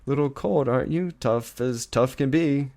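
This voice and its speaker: A man's voice: mid-range voice, gravelly, darkish tone, pitched above the performer's own voice, lightly acted. A man voicing an anxious, doting father stallion in a story reading, tense and tender, tending to falter and repeat himself. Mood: frantic, tender. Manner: shaky, halting, repeating himself. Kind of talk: animal fantasy story reading.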